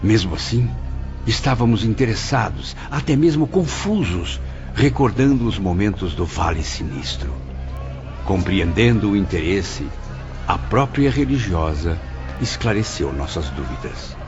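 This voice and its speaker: A man's voice: fairly low pitch, animated, unhurried. A man with a Brazilian accent acting as a spirit who solemnly recounts his afterlife journey in an audio drama. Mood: solemn wonder. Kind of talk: scripted audio drama narration. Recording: muffled audio drama, loud music bed.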